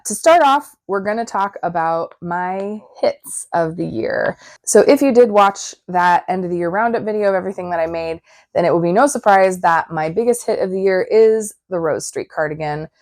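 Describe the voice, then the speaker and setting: A woman hosting her own crafting channel, chatting casually to camera while her voice is still hoarse and a bit froggy. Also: lowish voice, darkish tone, no echo, studio quality. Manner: chatty and upbeat. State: hoarse, recovering voice. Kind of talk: casual vlog talk to camera.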